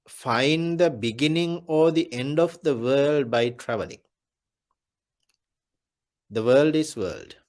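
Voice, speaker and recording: Man, Buddhist monk, Dhamma talk, unhurried, repetitive, measured. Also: medium pitch. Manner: slow, deliberate, repeats key phrases.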